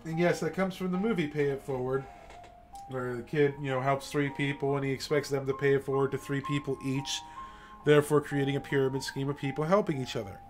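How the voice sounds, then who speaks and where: A man's voice: medium pitch, steady tone, a little fast. Passionate streamer man, emphatic late-night rant. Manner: passionate, emphatic, repeats "okay?" to drive points home. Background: faint classical music.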